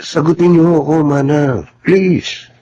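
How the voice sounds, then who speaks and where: An actor playing a respectful, loyal young servant in a Filipino radio drama, polite and grave. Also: male voice, fairly high pitch, measured pace, warm tone, muffled recording, lightly acted. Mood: grave, insistent. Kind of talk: Tagalog radio drama acting.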